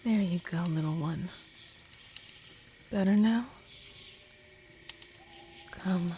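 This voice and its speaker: A woman playing a kind, protective traveller in a gentle ASMR comfort roleplay, speaking softly with some whispering and reassuring slowly. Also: fairly low pitch, slight background noise. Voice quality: soft, partly whispered. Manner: gentle, slow, soothing, reassuring.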